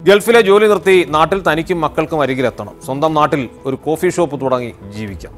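A man in his thirties anchors a morning news show in an earnest tone, his voice sitting a touch high. A faint music bed runs under him in the studio. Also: animated, fast talker. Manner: earnest, reads viewer greetings aloud. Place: TV studio with backdrop.

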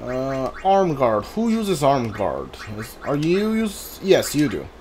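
Slow, halting gamer with swinging pitch. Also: wildly swooping pitch, a little mellow, slight background noise. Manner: thinking aloud in halting fragments. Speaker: gamer, streamer. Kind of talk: casual game playthrough commentary. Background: game music.

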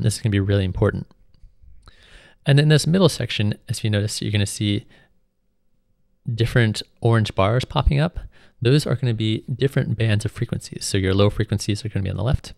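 A man with a lowish, creaky voice talks through a software lesson step by step. His delivery is informal and explanatory, recorded close and very dry. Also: quick pace, no echo. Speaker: music production instructor. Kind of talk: screen tutorial narration, explanatory.

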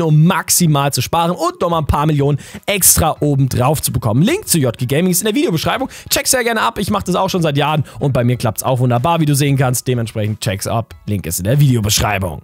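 Guy doing hyped gaming news voiceover, pitch all over the place, close dry sound, talks right at viewers. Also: slightly rough, dry recording. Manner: informal, enthusiastic, addresses viewers directly.

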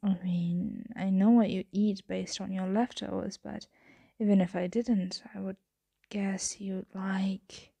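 Casting: woman to play teasing female roommate in vampire ASMR roleplay; very slow, husky, breathy half-whisper, intimate and flirtatious. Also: medium pitch, flat delivery, exaggerated voice. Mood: flirtatious, hungry. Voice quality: soft, breathy, frequently whispering. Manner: slow, hesitant, teasing murmur.

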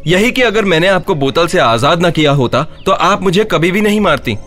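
Guy doing clever brave village lad in a cartoon, polite, animated tone, music behind. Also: high-pitched, moderate pace, pitched above the performer's own voice. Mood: sly, polite. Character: clever, fearless, polite young village man. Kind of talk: animated Hindi horror story acting.

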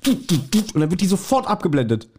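Mellow, higher-pitched man chatting. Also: sing-song, moderate pace, a little hiss or hum. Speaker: podcast co-host. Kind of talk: casual chat.

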